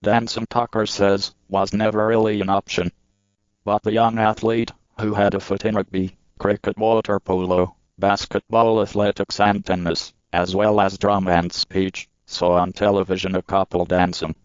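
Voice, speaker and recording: A man reading a scripted news feature as reporter, with steady, measured delivery. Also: fairly low pitch, clean tone, no room sound, highs cut off.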